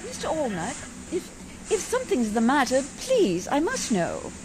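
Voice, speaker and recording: A woman's voice: high voice, wildly swooping pitch, measured pace, music in the background, full character voice. A woman playing a prim, devout and strong-willed lady adventurer in an old-time radio drama, speaking in proper, effusive phrases. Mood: concerned. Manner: proper, genteel, effusive diction.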